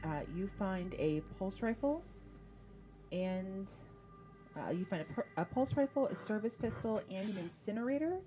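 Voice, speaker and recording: A woman running a tabletop roleplaying game as game master, narrating in a halting, matter-of-fact way. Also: mid-range voice, animated. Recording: background music.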